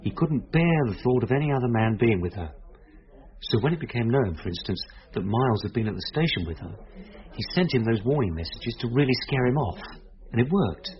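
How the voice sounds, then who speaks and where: A man playing a composed police detective in a radio play. He explains in a measured, gentle, reassuring way at a medium pitch, on a slightly dull recording. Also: sing-song, close to an everyday voice. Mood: matter-of-fact.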